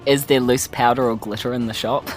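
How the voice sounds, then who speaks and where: A man's voice: mid-range voice, steady tone, brisk. New Zealand man chatting over music. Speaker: player in a tabletop game. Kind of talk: casual chat at a game table. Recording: music bed under speech.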